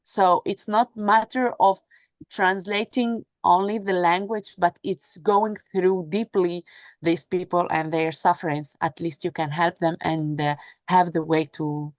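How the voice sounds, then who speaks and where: A woman whose native language is Arabic, speaking unscripted as a panelist, haltingly searching for words and repeating phrases. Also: lowish voice, fairly even intonation, no room sound. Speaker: panel speaker, humanitarian sea rescue worker. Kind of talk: unscripted panel talk about work experience.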